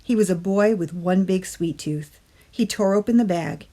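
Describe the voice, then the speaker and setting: A woman reading a children's story aloud as a storytime narrator, with dramatic pauses and drawn-out key words. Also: fairly low pitch, mellow. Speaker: library storytime reader. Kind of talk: expressive children's picture-book narration.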